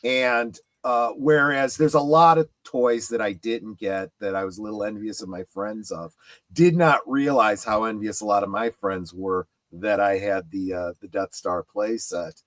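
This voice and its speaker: A middle-aged man in casual panel chat, speaking in a halting but emphatic way. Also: lowish voice, a bit bright, no echo. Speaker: show panelist. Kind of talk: casual chat about collectibles.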